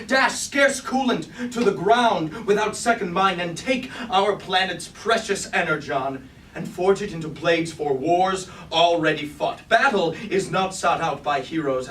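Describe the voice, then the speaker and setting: Casting: male actor for a loyal robot soldier, high voice, theatrical stage verse, recorded from a distance in a roomy space. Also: a bit bright. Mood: bitter. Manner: theatrical, declamatory, archaic formal diction. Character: loyal robot warrior lieutenant, proud, battle-weary, devoted to his king. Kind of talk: staged reading of mock-classical verse tragedy. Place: indoor room at a staged reading. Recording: roomy, distant mic, faint noise.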